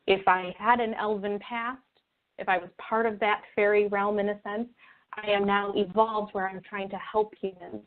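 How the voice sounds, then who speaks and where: A woman's voice: mid-range voice, level tone, moderate pace. Earnest woman on a tinny phone line. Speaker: interview guest. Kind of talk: conversational explaining in an interview. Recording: tinny narrow-band phone or call line.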